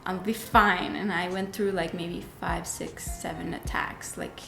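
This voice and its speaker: A woman's voice: on the low side, steady tone, a little slow, vocal fry, echoey room, music in the background. A young woman from Turkey chatting frankly and casually as co-host, with lots of "like" and casual swearing. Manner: frank, breezy, uses "like" a lot, swears casually. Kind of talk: casual chat.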